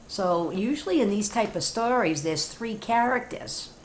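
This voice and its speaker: A woman's voice: medium pitch, expressive, measured pace, slight background noise. A woman teacher reading a storybook aloud to children, playfully addressing young listeners with chatty asides.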